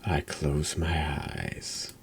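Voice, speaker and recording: A man streaming a horror game in a hushed, dry ASMR style, reacting and reading text aloud in an understated way. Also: extremely low pitch, little pitch movement, drawn-out words, background noise.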